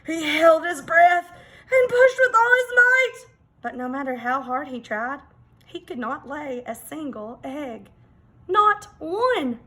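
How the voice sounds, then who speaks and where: High, bright woman reading a storybook slowly. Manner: expressive, dramatic emphasis. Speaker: teacher reading aloud. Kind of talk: children's storybook read-aloud.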